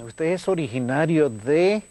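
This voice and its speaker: A man's voice: on the high side, animated, slow pace, dark tone, dry recording. An older man, a pastor hosting a television interview, affirming his guest often. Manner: affirming, frequent agreement. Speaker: pastor, TV host. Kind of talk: studio interview. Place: TV studio. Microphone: lapel.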